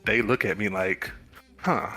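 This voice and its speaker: A man's voice: fairly low pitch, unhurried, over music. A man chatting casually on a livestream, hesitant and often laughing as he tells a story.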